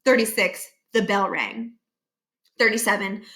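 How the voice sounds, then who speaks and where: A woman reading a children's novel aloud as an expressive storybook narrator. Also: medium pitch, unhurried. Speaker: storybook reader.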